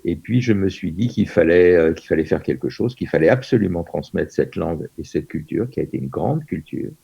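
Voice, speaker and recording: A man's voice: low-pitched, expressive, brisk, gravelly, warm tone, breathy edge, lo-fi sound. An older man in his seventies from France, a Yiddish teacher being interviewed over a video call, hesitant with many fillers and restarts.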